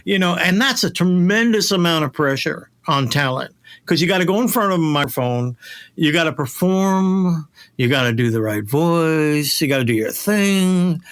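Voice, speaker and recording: A man's voice: fairly high pitch, animated, normal speed, a bit bright, smooth. A man talking casually and emphatically about his industry, as a guest in conversation. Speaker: interview guest, voice casting professional.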